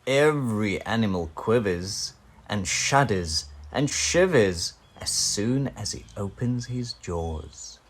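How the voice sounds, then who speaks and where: A man reading a children's picture book aloud in an animated, theatrical way, drawing out key words. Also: lowish voice, slow.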